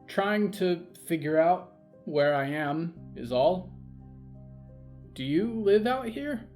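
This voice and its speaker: A young boy scout as a male narrator plays him, grumbling and anxious, pitched up with big swings. He sighs and grunts his way through an audio drama, with music faintly behind. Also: animated, slow, a little mellow. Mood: wary. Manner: sighing, grunting.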